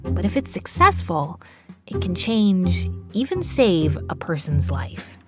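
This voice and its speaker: A woman's voice: lowish voice, big pitch swings, slow. A woman, a radio host narrating in a polished, scripted public-radio style. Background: music under the voice.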